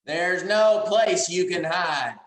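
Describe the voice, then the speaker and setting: Woman doing a defiant young guy hero, slow dramatic delivery, roomy sound, sci-fi play reading. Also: on the low side. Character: earnest, defiant young male time-traveling hero, often bewildered.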